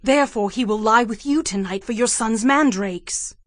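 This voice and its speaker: An actress playing an envious, determined younger sister and wife in a dramatized scripture reading, with emotive, emphatic delivery. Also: female voice, a little high, moderate pace, no echo, studio quality, close to an everyday voice.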